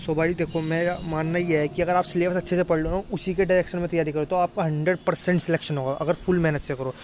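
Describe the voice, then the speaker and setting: A man's voice: on the high side, flat delivery, fast, darkish tone, slight background noise. A young man informally explaining to students as an exam tutor, colloquial and often checking that they follow. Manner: colloquial, repeatedly addresses listeners as brother, checks understanding.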